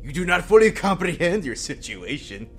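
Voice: mid-range voice, big pitch swings, moderate pace, close to an everyday voice. An actor playing a pompous, menacing omniscient narrator in an audio comedy drama, portentous and melodramatic, and easily flustered. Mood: ominous. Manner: portentous, melodramatic, dragging out grim pronouncements. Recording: music behind the voice.

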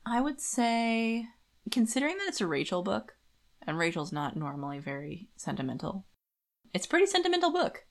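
A woman's voice: mid-range voice, big pitch swings, normal speed. A woman co-hosting a podcast, talking in a casual, reflective, conversational way. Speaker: podcast co-host. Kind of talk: casual book discussion.

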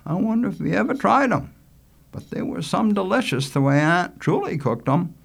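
Man playing an eager, lively island boy. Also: high-pitched, normal speed, vocal fry, voice pushed up, heavily acted. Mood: curious, chatty. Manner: rustic dialect, outspoken. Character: eager, earnest island boy, polite and folksy.

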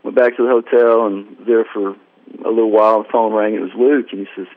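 A man's voice: on the low side, fairly even intonation, a little fast, no room sound. A man as the guest musician in an interview, telling a story in a rambling, self-deprecating way and acting out the dialogue. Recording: dry tinny phone line.

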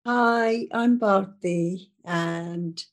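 A woman born in Uganda, a memoir author, introducing herself in an unhurried, hesitant way with many fillers. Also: medium pitch. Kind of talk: casual conversation.

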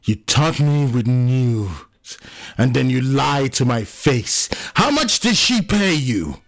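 A man's voice: medium pitch, expressive, measured pace, a little mellow, dry recording. Man acting out an anguished, explosive grieving man, audiobook drama. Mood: furious. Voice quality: gruff when dejected. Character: anguished, volatile man in grief, quick to rage.

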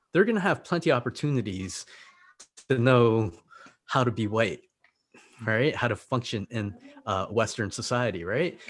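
A man's voice: medium pitch, fairly bright tone. A man, whose was Korean, talking as a podcast guest in a reflective, earnest way and often checking in with "right?". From Canada. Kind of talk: casual conversation.

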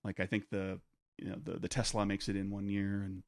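A man's voice: low-pitched, monotone, a little fast, vocal fry, no room sound, studio quality. A man co-hosting a casual podcast, rambling through his points with lots of fillers and banter. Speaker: podcast co-host.

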